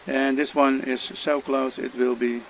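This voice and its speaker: A man's voice: medium pitch, little pitch movement, measured pace, low-quality recording. Dutch guy doing a game tutorial, lots of uhs, slight hiss behind. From Netherlands. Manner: hesitant, many "uh" fillers and restarts. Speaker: gamer making a tutorial. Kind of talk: casual gameplay tutorial commentary.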